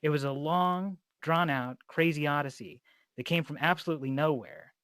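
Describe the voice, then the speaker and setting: A man's voice: a little high, moderate pace, fairly bright tone, smooth, dry recording, clean studio sound. A man interviewing a guest in an informal, meandering way, chatting and telling rambling anecdotes. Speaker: interviewer, host. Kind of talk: casual chat, rambling anecdotes.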